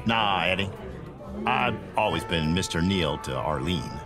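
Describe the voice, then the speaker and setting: Man playing a lively, cocky bootlegger over music. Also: sits low, a little slow. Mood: playful. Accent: American. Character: cocky, playful male 1920s bootlegger on the rise. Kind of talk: audio drama acting.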